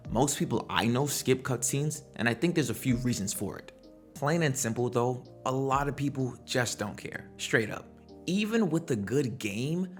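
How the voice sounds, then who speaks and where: A man hosting a gaming commentary video, talking in a conversational, slangy way and throwing out rhetorical questions. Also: mid-range voice. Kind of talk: casual opinionated video commentary.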